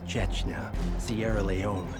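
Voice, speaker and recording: A calculating male conspirator in a spy game. He speaks low and slowly, with deliberate control, over loud music. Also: fairly even intonation. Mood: grave, explaining. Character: calculating male leader of a secret cabal.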